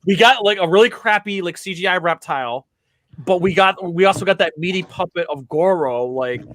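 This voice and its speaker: A man chatting on a podcast, rambling eagerly through anecdotes with lots of fillers. His voice sits a little high, with a bright, forward ring. The sound is dry and close. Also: expressive, a little fast, no room sound. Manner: enthusiastic, rambling storyteller with many fillers. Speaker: podcast co-host. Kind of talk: casual podcast chat.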